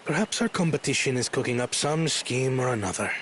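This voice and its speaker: Man playing a serious, expressive game character. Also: medium pitch, brisk, a little hiss or hum, lightly acted. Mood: grave. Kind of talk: scripted video game dialogue.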